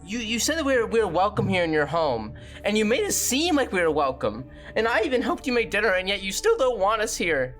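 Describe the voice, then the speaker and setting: A man's voice: sits high, sing-song, fairly quick, fairly bright tone, soft background music. A man playing in a tabletop roleplay, casual and hesitant, moving in and out of character. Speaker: player.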